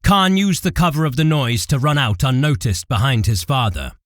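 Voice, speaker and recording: A man's voice: mid-range voice, expressive, normal speed, a bit bright, dry recording, clean studio sound. A man giving a dramatic, scripted narration of a fantasy war story as its narrator.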